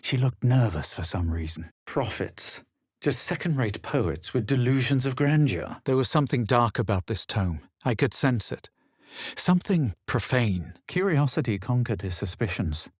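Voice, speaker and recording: An actor playing a smug, self-assured male adventurer in a fantasy video game, measured and dryly dramatic. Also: mid-range voice, lively intonation, husky, dry recording, studio quality. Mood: wry, composed. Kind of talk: video game character lines and first-person narration.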